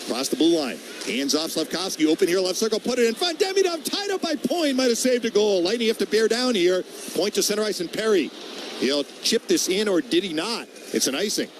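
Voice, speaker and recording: A man's voice: sits high, big pitch swings, a little fast, noisy background. A man doing live radio play-by-play of ice hockey in short, clipped running calls.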